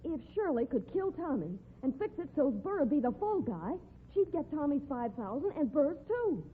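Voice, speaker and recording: Lively, high American jealous rodeo gal, accusing and slangy, noisy tinny radio recording. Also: female voice, moderate pace. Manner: pert, insistent, slangy. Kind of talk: old-time radio drama acting.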